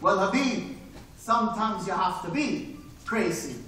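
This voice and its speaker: A man's voice: high-pitched, expressive, measured pace, bright, forceful tone, slight background noise, pitched below the performer's own voice, full character voice. A man on a live stage voicing a young would-be actor from the Bahamas with a West Indian accent, earnest and defiant. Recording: echoey theater, heard from far off.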